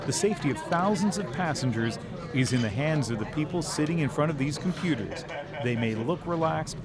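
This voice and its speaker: A man with a Canadian accent working as a TV news reporter, delivering scripted narration and interview questions. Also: medium pitch.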